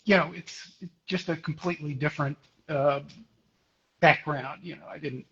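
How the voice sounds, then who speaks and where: A middle-aged man from Houston, a guest photographer, talking hesitantly with many pauses and restarts in a live interview over a video call. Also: a little high, steady tone, a bit bright, husky, dull audio. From Houston, Texas.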